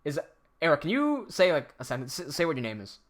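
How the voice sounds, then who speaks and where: A man with an American accent hosts a casual live-stream Q&A. His voice is bright, slightly high and very animated as he reads out viewer questions and answers them on the fly. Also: fast. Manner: chatty, reads out viewer questions while fiddling with software.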